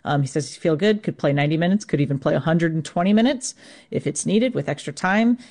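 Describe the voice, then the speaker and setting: An American woman with a slightly low voice gives a live sports report. She explains things informally and conversationally, as a radio correspondent would. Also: expressive, fast talker, darkish tone.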